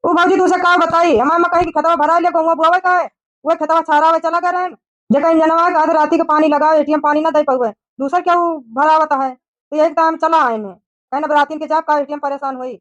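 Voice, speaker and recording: A woman's voice: high voice, steady tone, fairly quick, fairly bright tone, dry recording, dull audio. A woman voicing a chatty, helpful young village brother-in-law with an Awadhi accent in a cartoon comedy. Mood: explaining.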